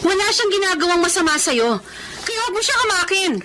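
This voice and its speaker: A woman's voice: extremely high pitch, animated, a little fast, sharp tone, slight background noise, full character voice. A Filipino woman playing an earnest, emotional young woman in a radio drama, with dramatic and emphatic delivery. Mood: wary.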